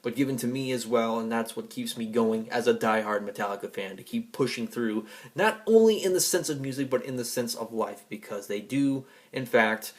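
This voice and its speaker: A man giving a rambling personal monologue to an audience in an emphatic, defiant, profanity-laced way. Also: on the low side. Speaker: vlogger and music fan.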